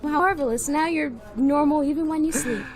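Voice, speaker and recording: A woman's voice: high-pitched, moderate pace, music in the background, natural voice, poor audio. A woman playing a devoted, anxious sweetheart in a 1930s-style radio drama, speaking in a theatrical, coaxing, questioning way. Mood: playful. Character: devoted, anxious sweetheart Mary, teasing and pleading.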